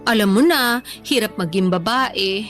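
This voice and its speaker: A woman's voice: mid-range voice, unhurried, a bit bright, soft background music, lightly acted. A woman playing an earnest, hopeful young woman in a radio drama, speaking in a candid, confiding way. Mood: regretful confiding. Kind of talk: Tagalog radio drama dialogue, conversational acting.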